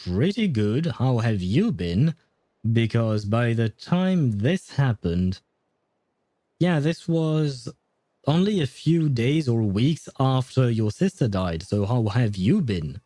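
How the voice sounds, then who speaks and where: A man's voice: medium pitch, very animated, slow, warm tone, dry recording. A man livestreaming a game, chatting casually with viewers in a rambling, hesitant way. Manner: rambling, hesitant, chuckles often. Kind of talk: casual chat with viewers while gaming. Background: faint game music.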